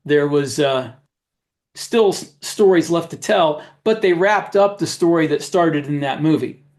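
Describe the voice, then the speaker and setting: A man co-hosting a podcast, giving his opinions in a casual, halting way. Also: fairly high pitch, a little mellow. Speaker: podcast co-host, author. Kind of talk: casual discussion of writing.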